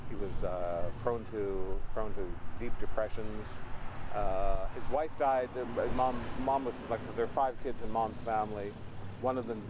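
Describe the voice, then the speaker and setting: An older man in his eighties from Prince Edward Island, telling family stories as an interview guest in a relaxed, rambling, wry way. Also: lowish voice, a little slow. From Prince Edward Island, Canada. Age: 80s. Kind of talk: casual storytelling conversation. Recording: noisy background.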